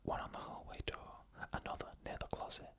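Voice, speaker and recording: A narrator telling creepy stories in a fully unvoiced ASMR whisper, slow and hushed, in short clipped phrases.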